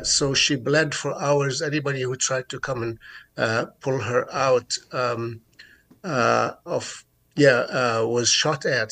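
A mature man with a Palestinian Arabic accent, giving an unscripted account in a radio interview in a halting, deliberate way with many hesitations. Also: medium pitch, a bit bright. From Jerusalem, Palestine. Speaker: interview guest, advocate.

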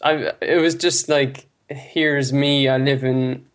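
A man's voice: mid-range voice, steady tone, slow pace. An Irish man in his thirties, a native Irish speaker from the west of Ireland, chatting loosely as a guest over a Skype call. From Ireland (County Clare). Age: 30s. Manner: chatty, many fillers, laughs easily. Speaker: guest, language-learning founder. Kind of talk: casual interview talk.

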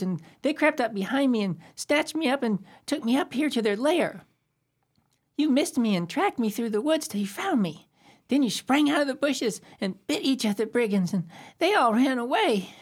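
A woman's voice: on the high side, animated, moderate pace, slightly rough. A woman playing a small, imaginative boy in a radio play, talking in childlike make-believe. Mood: brave, drowsy. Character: small boy, imaginative, devoted to his dog.

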